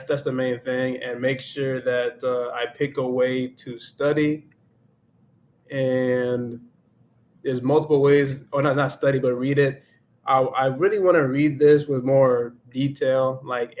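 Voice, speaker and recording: A man of middle pitch hosts a laid-back livestream, reflecting out loud as he goes. He slips into Spanish here and there, with a faint hum behind him. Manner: reflective, thinks aloud, switches into Spanish now and then. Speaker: livestream host and Spanish learner. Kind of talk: casual livestream chat.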